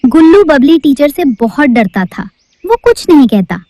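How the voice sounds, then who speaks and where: A woman's voice: high voice, big pitch swings, moderate pace, mellow, no echo. A woman narrating a cartoon story in a storybook-telling manner.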